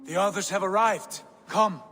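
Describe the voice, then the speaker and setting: A man's voice: high voice, normal speed, slight background noise. A man playing a commanding, honourable Norse jarl in a video game cutscene, speaking in a formal, declamatory way. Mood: magnanimous. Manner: declamatory, formal speech of a leader. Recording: loud music behind the voice.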